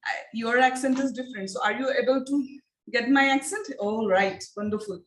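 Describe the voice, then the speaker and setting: A woman with an Indian accent leading an online phonics training for teachers, speaking deliberately and repeating her questions to the trainees. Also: on the high side, reverberant, low-quality recording. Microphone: video call.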